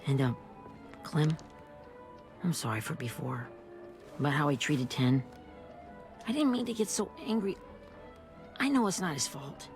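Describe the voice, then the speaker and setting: A performer playing an excitable, reckless young teenage boy in a video game, with an eager, impulsive manner. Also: mid-range voice, measured pace, long pauses, faint music behind, natural voice. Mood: apologetic.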